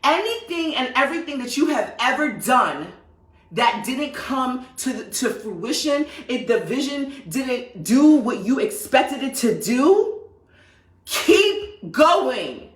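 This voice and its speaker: A sassy woman with a New York accent speaks in a high, bright voice that swoops all over the place. She rants passionately and hammers her points home. Her voice sounds echoey and a little distant from the mic. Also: slow pace. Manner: animated, sassy, emphatic repetition. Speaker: vlogger. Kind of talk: passionate direct-to-camera rant.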